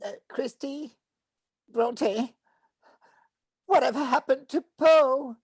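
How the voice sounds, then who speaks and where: A woman voicing a tiny, sweet, eager cartoon creature in a squeaky, childlike voice at an animation table read. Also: slow, a little mellow, breathy edge, no echo, exaggerated voice. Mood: curious.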